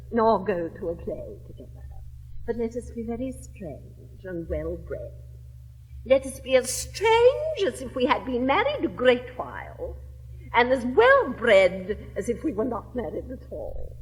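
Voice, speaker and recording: A woman's voice: fairly high pitch, wildly swooping pitch, unhurried, long reverb tail, rough recording. An English actress in her seventies playing a witty, capricious young lady of fashion, with an affected, swooping theatrical drawl. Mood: imperious. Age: 70s. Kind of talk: 1960s classic stage recording.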